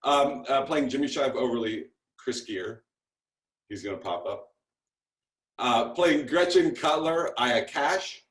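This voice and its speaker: Halting man in an echoey room. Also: medium pitch, lively intonation. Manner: halting, self-deprecating. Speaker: TV series creator introducing his cast. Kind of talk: casual spoken interview. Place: indoor room on a video call. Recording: echoey room over video call.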